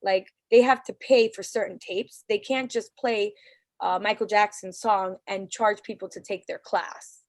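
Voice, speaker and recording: An earnest woman speaks casually over a video call, leading a parents' meeting. Her pitch sits mid-range, and the sound is close and dry, with no room echo. She often restarts her sentences as she talks. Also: fairly even intonation, measured pace, a little mellow. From New York City. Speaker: parent council leader. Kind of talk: virtual community meeting talk.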